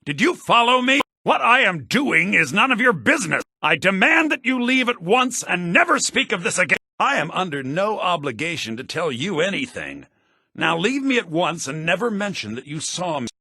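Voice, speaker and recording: A shifty haughty man in a game, curt, sharp raspy voice. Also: high voice, sing-song, normal speed, dry recording, clean studio sound, full character voice. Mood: indignant. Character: secretive, indignant man demanding to be left alone. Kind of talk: video game character acting.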